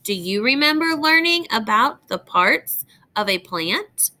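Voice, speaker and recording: A woman reading a picture book aloud as a teacher would, phrasing it deliberately for young children and addressing them directly. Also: a little high, big pitch swings, bright, forceful tone.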